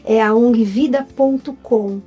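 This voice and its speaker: A woman's voice: on the high side, measured pace, warm tone, breathy edge, lo-fi sound, faint music behind. A Brazilian-accented woman, a guest in a casual interview, telling her story in an upbeat way. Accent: Brazilian Portuguese. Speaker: guest, writer and film producer.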